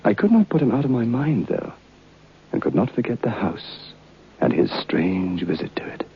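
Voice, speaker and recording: An actor playing a curious, bookish gentleman recounting a ghost tale in formal, earnest old-time radio style. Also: mid-range voice, sing-song, moderate pace, gravelly, breathy edge, heavily acted. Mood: reflective. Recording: muffled old radio recording.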